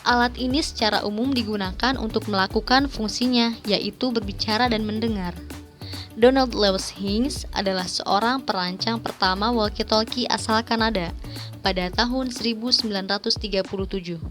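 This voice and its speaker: Young female student presenter, medium pitch, formal scripted read with careful diction. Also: moderate pace, a bit bright. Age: young adult. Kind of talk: scripted presentation narration, reading a paper aloud. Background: background music.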